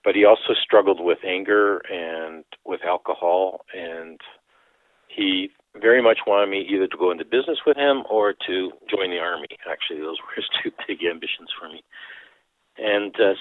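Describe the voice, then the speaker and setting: Older man, very low voice, thoughtful, restarts a lot, dry phone-like sound. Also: no echo. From Canada. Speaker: guest, priest and author. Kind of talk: reflective interview answers. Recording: tinny phone-like line.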